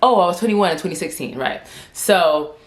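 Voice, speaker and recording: A young woman in her twenties with a low, deep-set voice tells a long personal story straight to her viewers. She rambles and leans on "like" constantly. She stutters and doubles back on her words. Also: expressive, normal speed, rough recording. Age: 20s. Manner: chatty and rambling, heavy use of "like". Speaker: vlogger. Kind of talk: casual storytelling to camera.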